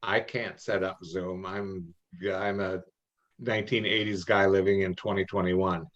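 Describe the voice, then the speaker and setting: A middle-aged man with a fairly low voice, heard through slightly muffled meeting audio. He talks in a folksy, halting way, repeating words as he gives practical advice informally. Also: flat delivery, a bit bright. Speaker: experienced contest judge and adviser. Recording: muffled, band-limited online meeting audio.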